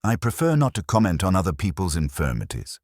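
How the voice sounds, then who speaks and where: A man's voice: low voice, huge pitch swings, a little fast, darkish tone, dry recording, clean studio sound, pitched above the performer's own voice, heavily acted. A man voicing a prim, guarded small-town woman in an audiobook mystery, with clipped, proper and tartly reserved speech. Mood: curt, reserved.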